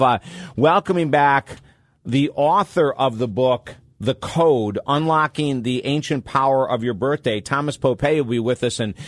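A man's voice: mid-range voice, dry recording, studio quality. Upbeat male radio talk host. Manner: upbeat, enthusiastic promo patter.

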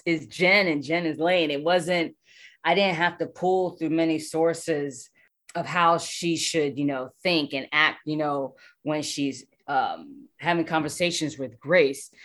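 Female voice actor guest, low register, conversational and reflective, feeling her way through answers. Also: fairly bright tone. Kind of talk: casual interview chat.

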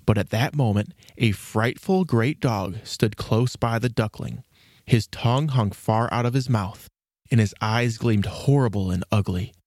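A man's voice: lowish voice, measured pace, darkish tone, no room sound, clean studio sound. A man reading scripted storybook narration as the narrator of a fairy tale.

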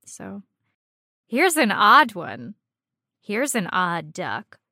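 A woman co-host chats casually about gaming in a bright, medium-pitched voice. Her intonation is animated and her manner is playful and teasing. The recording is dry and free of echo. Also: drawn-out words. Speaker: podcast co-host. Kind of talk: casual chat about a video game.

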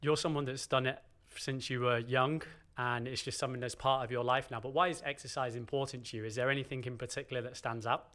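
A man's voice: mid-range voice, fairly even intonation, fast, hardly any pauses, a bit bright. A man hosting a podcast, chatting informally as he introduces and interviews a guest. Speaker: podcast host. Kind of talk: casual interview.

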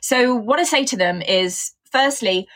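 A woman's voice: on the high side, unhurried, a bit bright. A woman who teaches English, talking in a casual interview, with a mixed English accent that still holds traces of Coventry. She explains her points thoughtfully and often says "you know". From England.

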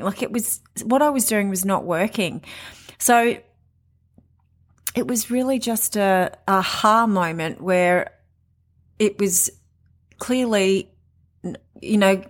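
A woman in her late forties hosting a podcast, telling her own story in a candid, conversational way with pauses and restarts. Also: medium pitch. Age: 40s. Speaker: podcast host. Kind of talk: personal monologue.

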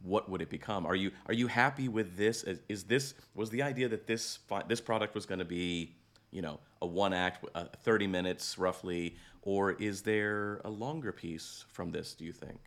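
Man, very deep pitch, creaky gravelly tone, thoughtful interviewer, conversational, rephrasing often. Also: moderate pace, fairly bright tone. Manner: thoughtful, reflective, repeats and rephrases as he goes. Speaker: podcast host, interviewer.

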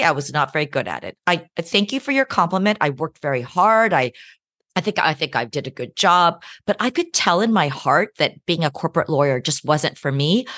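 A middle-aged woman speaks in a lowish voice that swings up and down freely as she answers an interviewer. She talks in an animated, chatty way and laughs often. The sound is dry and close. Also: no echo. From United States. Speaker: guest, law professor and author.

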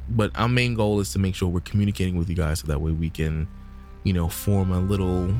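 A man's voice: sits low, a little mellow. A man co-hosting a podcast in casual, rambling chat, full of "you know" and "um," pushing upbeat calls to listeners. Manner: laid-back, rambling, lots of "you know" and "um"; upbeat promo sign-off push. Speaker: podcast co-host.

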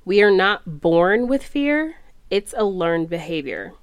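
A woman's voice: mid-range voice, sing-song, takes it slowly, clear, resonant tone, no echo. A woman hosting her own podcast, slightly nasal and congested after being sick, speaking in a candid, reflective and encouraging way. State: congested, recovering from illness. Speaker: podcast host. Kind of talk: solo podcast monologue. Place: closet or living room at home.

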